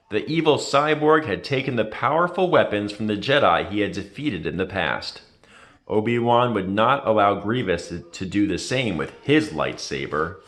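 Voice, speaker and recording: A man reading a children's story aloud with expressive, dramatic storytelling. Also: fairly low pitch. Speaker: reader.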